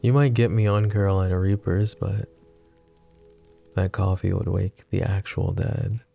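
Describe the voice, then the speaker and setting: A man voicing a wry, tender mafia boss in an ASMR roleplay, soft-spoken and teasing. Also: low voice, steady tone, measured pace, creaky, faint music behind, exaggerated voice. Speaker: voice actor.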